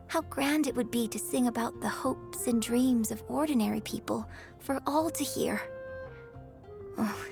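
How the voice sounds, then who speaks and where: An actress playing a refined, gracious young opera star and troupe director in a video game, speaking in a formal, theatrical manner. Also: female voice, fairly high pitch, gravelly, a little mellow. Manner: genteel, theatrical, formal wording. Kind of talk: video game character voice lines.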